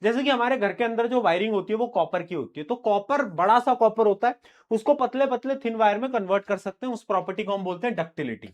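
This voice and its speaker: A young man teaching a live online lecture, casual and teasing, his voice a little rough from a sore throat and a cough. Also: sits high, quick pace. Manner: informal, teasing, addresses students as beta.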